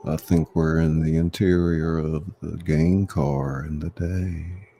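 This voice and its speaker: A man's voice: little pitch movement, takes it slowly, dark tone, slight background noise. A man hosting a casual livestream, reading a script aloud as narrator. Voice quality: low, gravelly. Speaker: host and script reader.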